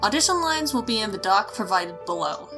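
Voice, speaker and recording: A woman's voice: mid-range voice, very animated, a little fast, sharp tone, faint music behind. A woman reading a scripted announcement as a voice-over, foul-mouthed and self-mocking, often stumbling over her lines. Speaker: voice-over reader for a casting call. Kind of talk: scripted announcement with blooper outtakes.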